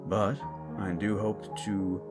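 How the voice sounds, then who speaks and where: A man's voice: fairly low pitch, level tone, slow pace, over music. Casual man addressing his listeners. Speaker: audio roleplay creator. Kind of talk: casual channel update to listeners.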